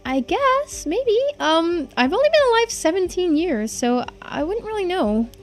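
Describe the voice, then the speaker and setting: Woman voicing an excitable, high-pitched teenage boy. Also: measured pace, faint music behind, full character voice. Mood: earnest, teasing. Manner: casual, exclamatory, incredulous. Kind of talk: voiced visual novel playthrough.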